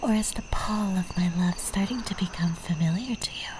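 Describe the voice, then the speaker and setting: A woman playing an obsessive, possessive stalker in a whispered ASMR roleplay, speaking in a breathy, intimate way with tender menace. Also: medium pitch, noisy background, lightly acted. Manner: slow, intimate, cooing endearments.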